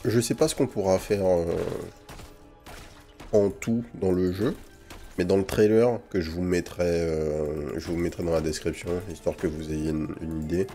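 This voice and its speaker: A man with a low, mellow voice hosts a gaming video. He comments casually on what he plays, using loose slang and English gamer words. Game music plays behind him. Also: fairly even intonation, moderate pace. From French Guiana. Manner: informal slang sprinkled with English gaming terms. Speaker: gaming channel host. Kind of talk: casual gameplay commentary with first impressions.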